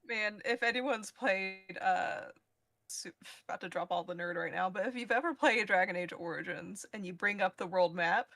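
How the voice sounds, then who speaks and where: A woman's voice: medium pitch, moderate pace, a bit bright, no echo. A woman chatting casually in a playful, nerdy way. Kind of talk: casual chat.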